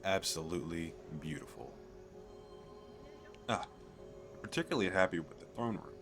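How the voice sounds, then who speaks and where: A man playing an eager, chatty fantasy merchant in an audio roleplay, talking the listener through a sales pitch in a hesitant, rambling, persuasive way. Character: eager, chatty male fantasy merchant selling magical crystal castles.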